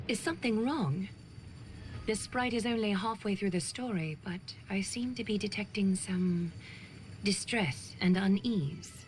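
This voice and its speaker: A woman's voice: medium pitch, a little hiss or hum, natural voice. Woman as a composed, gracious game hostess. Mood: composed, solicitous. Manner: formal, gracious, measured phrasing. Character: composed, courteous, attentive lady hostess. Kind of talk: video game dialogue.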